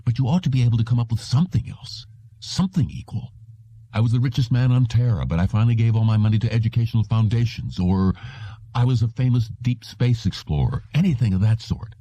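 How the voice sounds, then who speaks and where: A man's voice: medium pitch, quick pace, warm tone, breathy edge, dry recording, lightly acted. A man voicing a desperate, wry hunted former agent in an audiobook. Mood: arguing. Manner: pleading, wry. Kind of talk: audiobook character reading. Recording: dull, muffled lo-fi audio.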